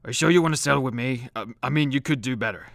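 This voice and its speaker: A man's voice: medium pitch, racing, creaky, a bit bright, no echo. A man playing a weary, hard-drinking immortal Greek superhero in a comedy radio play, hesitant, sheepish and self-deprecating. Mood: shy, tender.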